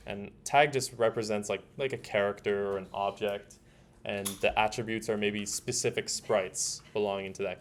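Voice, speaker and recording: A man leading an informal hands-on tutorial, explaining steps in a casual, halting way as he thinks aloud. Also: fairly low pitch. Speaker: workshop instructor. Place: indoor workshop room. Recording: noisy room with keyboard typing. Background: keyboard typing, room noise.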